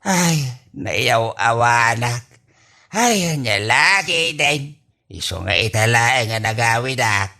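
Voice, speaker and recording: An actor as a halting, flustered old Ilocano husband. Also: male voice, medium pitch, lively intonation, gravelly, bright, forceful tone, full character voice. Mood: befuddled, evasive. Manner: halting, stammering, full of exclamations. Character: forgetful, evasive old husband and father, comically flustered. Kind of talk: Ilocano radio comedy drama acting.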